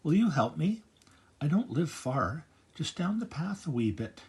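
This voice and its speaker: A grandfatherly man voicing a gentle, kindly old woman librarian in a storybook. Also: fairly high pitch, big pitch swings, a little slow, slightly rough, voice pushed up, exaggerated voice.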